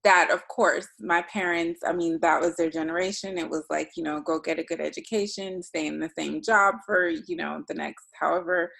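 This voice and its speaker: Gravelly-voiced woman. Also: lowish voice, steady tone, normal speed, few pauses, fairly bright tone, low-quality recording. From United States. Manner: thoughtful, with frequent restarts. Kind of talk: reflective casual conversation.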